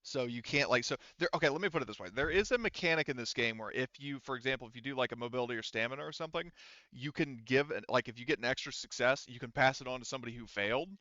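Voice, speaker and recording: A man's voice: medium pitch, racing, pushed and bright, no echo. A man working as a game master, casually narrating and ruling on a tabletop game in a rambling, profane, self-correcting way. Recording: slightly dull, lo-fi microphone sound.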